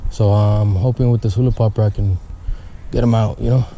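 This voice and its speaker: Chill fishing vlog dude, kinda low mellow voice, a little outdoor hiss behind him. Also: male voice, fairly even intonation, fairly quick, highs cut off. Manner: laid-back, rambling, says "guys" often. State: congested, stuffed sinuses. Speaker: fishing vlogger. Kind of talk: casual vlog talk to camera. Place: outdoors by a river.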